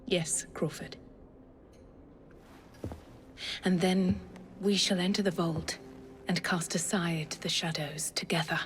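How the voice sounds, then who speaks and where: An actress playing a ruthless, vengeful villainess with a cold, deliberate, menacing manner in a video game. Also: female voice, fairly low pitch, steady tone, slight background noise, natural voice. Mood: hushed, intimate. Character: ruthless, vengeful female villain, devoted lieutenant. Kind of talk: dramatic video game acting.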